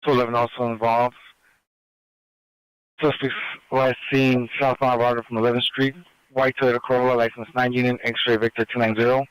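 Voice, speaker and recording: A man giving a procedural police radio broadcast as a dispatcher, measured, repeating and spelling out details phonetically. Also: mid-range voice, little pitch movement, clear, resonant tone, no echo, poor audio. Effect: police radio transmission.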